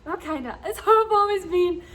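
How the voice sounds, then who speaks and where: A woman's voice: extremely high pitch, lively intonation, moderate pace, fairly bright tone. A young woman from Scotland, chatting casually and bubbly to her viewers, giggling often. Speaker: vlogger. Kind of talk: casual chat to camera.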